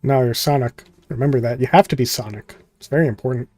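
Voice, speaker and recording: A man's voice: medium pitch, fast talker, a little mellow, no room sound, soft background music. A man giving casual, rambling, jokey gameplay commentary and reading game text aloud as host. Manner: rambling, jokey, skims text with filler words. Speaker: host playing a visual novel.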